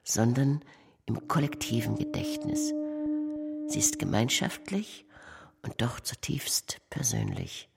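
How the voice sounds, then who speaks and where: A woman performing a contemplative narrator in a poetic radio play, with a measured, solemn delivery. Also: extremely low pitch, huge pitch swings, vocal fry, exaggerated voice. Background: music.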